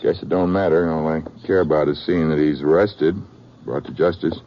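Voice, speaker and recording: A man's voice: a bass voice, fairly even intonation, normal speed, a little hiss or hum. An American actor playing a prickly, folksy western man bent on justice, with a confrontational manner, in 1950s radio drama. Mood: grudging, suspicious. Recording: muffled old radio recording.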